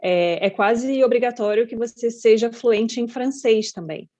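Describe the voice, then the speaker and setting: A woman's voice: a little high, unhurried, darkish tone. A Brazilian woman hosting an interview, asking long, chatty questions. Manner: chatty, rambling questions with frequent "né". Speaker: podcast host. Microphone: video call. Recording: slightly dull lo-fi audio.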